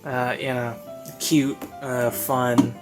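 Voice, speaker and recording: A man's voice: mid-range voice, little pitch movement, takes it slowly. A man giving a casual, unscripted review in a rambling, hesitant way, often interrupting himself. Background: classical instrumental music.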